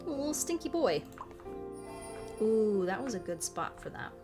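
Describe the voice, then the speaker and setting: A woman streaming a game, chatting casually in a rambling, halting way with self-corrections and playful, cutesy phrasing. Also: a little high, big pitch swings. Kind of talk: casual chat while playing a game. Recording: music behind the voice. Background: music.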